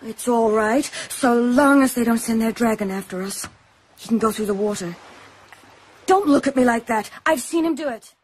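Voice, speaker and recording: A woman's voice: fairly high pitch, normal speed, husky. A woman playing a feisty, curious young island woman in a radio drama, direct and outspoken. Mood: insistent.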